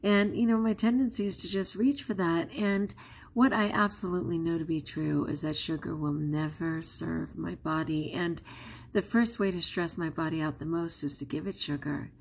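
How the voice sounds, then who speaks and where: Woman vlogger, non-native English speaker, chatty and candid, gravelly fry in the voice. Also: fairly low pitch, fairly even intonation, moderate pace. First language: not English. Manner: candid and chatty, with frequent laughs. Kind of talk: casual vlog talk to camera.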